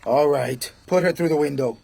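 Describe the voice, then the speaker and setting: An actor playing a menacing, curt and crude gangster assassin in a radio drama. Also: male voice, fairly high pitch, normal speed, vocal fry, darkish tone, a little hiss or hum. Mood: brusque, contemptuous.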